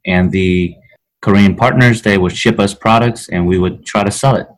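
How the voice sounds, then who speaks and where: A man's voice: sits low, moderate pace, rough recording. A man in his thirties from Vietnam, a podcast guest casually telling his life story with many fillers. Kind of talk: casual chat.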